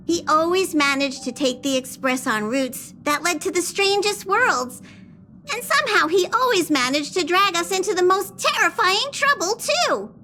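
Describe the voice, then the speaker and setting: A woman's voice: very high voice, pushed and bright, full character voice. A woman voicing a small, bossy, fretful train conductor creature in a video game, with a scolding manner.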